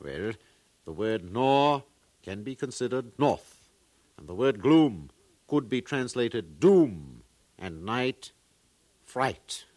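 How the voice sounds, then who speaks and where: An earnest, pedantic museum scholar in a 1950s radio play. He lectures formally and slowly, with a middling pitch and a dry, close sound. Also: very animated, a little mellow, no echo. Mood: grave, pedantic. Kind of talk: 1950s radio drama acting.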